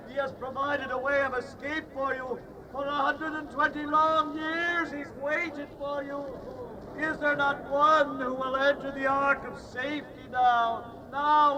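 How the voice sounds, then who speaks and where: An actor playing an earnest old prophet, a solemn man imploring people in a dramatized Bible story. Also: very high-pitched. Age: older. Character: earnest old male prophet pleading with sinners. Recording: distant, noisy outdoor crowd behind.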